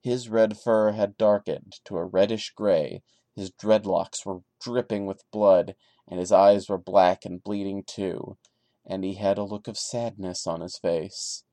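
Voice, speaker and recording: A man with a fairly low voice works as a podcast host performing a creepy story. His delivery is theatrical and mocking, in a dry, close-sounding recording. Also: fairly even intonation, a little slow, warm tone, no echo. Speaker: podcast host reading and commenting. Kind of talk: dramatic horror story reading with sarcastic asides.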